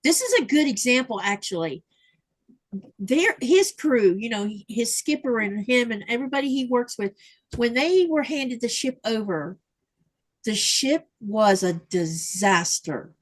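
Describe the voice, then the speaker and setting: A woman's voice: on the high side, expressive, measured pace, rough recording. Chatty woman host reading comments. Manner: reads viewer comments aloud, chatty with fillers. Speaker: livestream host reading chat. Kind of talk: casual chat. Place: home livestream. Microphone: livestream mic.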